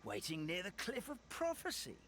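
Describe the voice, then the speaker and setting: Sweet old grandpa in a game, bright voice that goes way up and down, soft and a bit hesitant. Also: male voice, high-pitched, huge pitch swings, moderate pace, slight background noise, full character voice. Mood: warm. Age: older. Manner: gentle, hopeful, hesitant with small fillers. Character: kindly old grandfather of a forest village.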